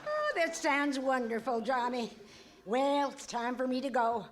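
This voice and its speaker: A woman's voice: high voice, very animated, a little slow. An actress playing a cheerful, doting older mother, effusive and theatrical, in an old-time radio western comedy. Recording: slightly noisy live stage recording.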